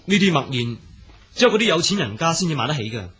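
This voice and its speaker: A man playing a polite, earnest poor young scholar in a Cantonese storytelling drama, speaking courteously with a husky voice. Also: on the high side, big pitch swings, quick pace, fairly bright tone, exaggerated voice. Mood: explaining.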